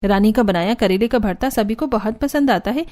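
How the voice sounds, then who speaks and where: A woman's voice: mid-range voice, normal speed, dark tone. A woman narrating a scripted cartoon family story.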